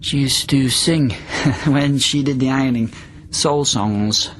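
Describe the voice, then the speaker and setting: An actor playing a desperate confined patient from the past in a radio drama, speaking in an emotional, halting, plaintive way. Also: male voice, mid-range voice, fairly bright tone, slight background noise. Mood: tentative. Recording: radio drama with music underneath.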